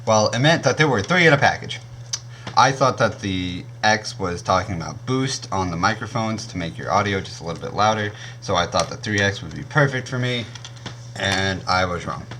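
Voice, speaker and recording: A young man with a naturally deep voice, casually reviewing gear on his channel and often stopping to correct himself. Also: expressive, moderate pace, sharp tone, slight background noise. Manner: rambling, self-correcting, frequent um and uh.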